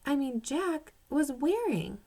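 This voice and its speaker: Curious, earnest kid-detective girl from a storybook, lifted pitch played by a female reader. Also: big pitch swings, slow, dark tone, full character voice. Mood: eager, curious.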